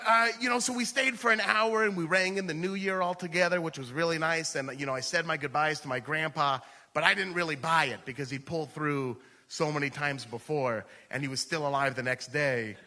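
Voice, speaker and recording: An American man performs comedic personal storytelling in a conversational, filler-peppered way. His voice is very bright and sharp and sits a bit high for a man. It rings through a live hall with long reverb, like a stage microphone in a theater. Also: a little fast. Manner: conversational, peppered with "like" and "you know". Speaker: stand-up comedian.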